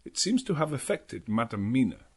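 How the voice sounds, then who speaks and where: Earnest old professor, swooping intonation. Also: male voice, medium pitch, big pitch swings, breathy edge. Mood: grave, concerned. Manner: halting, non-native English phrasing, deliberate and dramatic. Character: elderly foreign professor, earnest, grave, devout vampire hunter. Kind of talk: dramatic audiobook narration of a journal.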